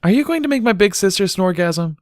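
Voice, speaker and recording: A man's voice: high voice, animated, fairly quick, dry recording, studio quality, pitched above the performer's own voice. A man voicing a cheeky, conspiratorial little filly in a storybook reading, blunt and knowing. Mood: stern curiosity.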